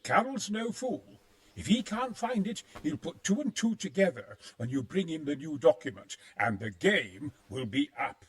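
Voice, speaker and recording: Crafty, highly animated male schemer. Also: normal speed. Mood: secretive, urgent. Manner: sly, hedging, expressive. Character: crafty, conspiratorial male schemer sheltering in a monastery. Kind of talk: video game cutscene acting.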